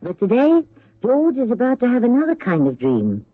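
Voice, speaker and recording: Husky, theatrical male radio narrator. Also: extremely high pitch, wildly swooping pitch, no echo. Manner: dramatic, deliberate phrasing. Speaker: host and narrator. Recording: narrow-band old radio recording.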